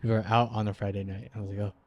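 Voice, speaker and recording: A man in his twenties co-hosting a podcast, talking in a relaxed, slangy way and laughing often. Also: fairly low pitch, rapid-fire, highs cut off. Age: 20s. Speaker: podcast co-host. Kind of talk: casual chat.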